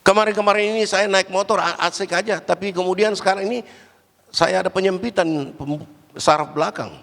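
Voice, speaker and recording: A man preaching a Pentecostal sermon while fighting a cold and cough, swinging between loud, raised exhortation and low, quiet asides. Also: gravelly. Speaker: guest preacher. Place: large church hall. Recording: church hall with long reverb.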